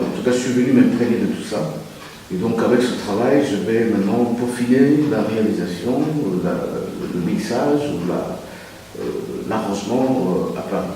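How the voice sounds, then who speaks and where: A Congolese-born music producer answering interview questions in a hesitant way, with many fillers and restarts. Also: male voice, on the low side, mellow, slight background noise. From Republic of the Congo. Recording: echoey room, far from the mic.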